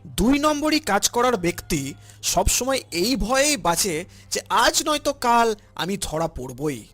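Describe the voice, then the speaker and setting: A man's voice: high voice, sing-song, unhurried, fairly bright tone, faint music behind, full character voice. A man voicing a principled older father in a cartoon, earnest and preachy as he admonishes. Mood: disappointed, scolding. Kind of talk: cartoon voice acting.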